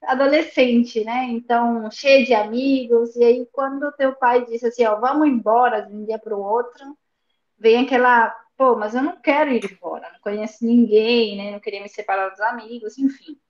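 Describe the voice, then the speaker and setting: A woman's voice: a little high, steady tone, normal speed. A woman with a slight Argentine accent, a guest telling her family story in a chatty way over earphones and a phone on a remote call. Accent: slight Argentine Spanish. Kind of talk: casual storytelling interview. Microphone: earphones with phone in front. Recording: echoey, dull remote-call audio.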